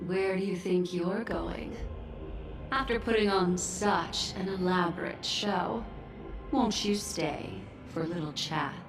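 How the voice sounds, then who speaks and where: An actress playing a composed, shrewd video game woman who speaks in a measured, coolly pointed manner. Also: fairly low pitch. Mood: wry challenge. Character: composed, shrewd, cool-headed woman facing her old betrayer. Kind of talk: dramatic video game voice acting. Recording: echoey, distant, music underneath. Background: game music.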